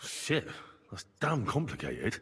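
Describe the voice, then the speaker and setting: An actor playing a plain-spoken, eager young medieval man-at-arms in a video game, husky, earnest and gallant. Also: on the low side, wildly swooping pitch, slow, a bit bright, in a large hall, exaggerated voice. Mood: exasperated. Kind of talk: scripted game dialogue.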